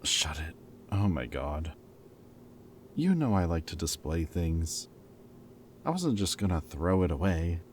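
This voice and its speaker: Very deep-voiced wry ex-villain played by a man. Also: big pitch swings, measured pace, long pauses, a little hiss or hum. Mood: playful, eager. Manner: casual, wry, teasing banter with sighs and chuckles. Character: teasing, caring male ex-villain planning with old hero friend. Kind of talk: intimate roleplay audio drama, conversational.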